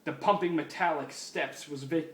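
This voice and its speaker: A man's voice: fairly high pitch, moderate pace. A man giving a mock-serious, comedic dramatic reading of a story as its narrator. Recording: echoey room, distant mic, faint hiss.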